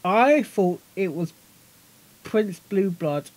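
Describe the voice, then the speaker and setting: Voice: medium pitch, expressive, slow, a little mellow. A reaction video host giving casual commentary, rambling, giggly and often repeating phrases.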